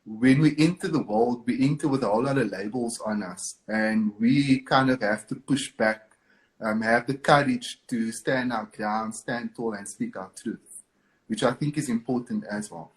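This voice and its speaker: A man's voice: mid-range voice, normal speed, rough recording. A man from Cape Town with a South African accent, a guest speaking earnestly and encouragingly in a live video interview. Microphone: video call.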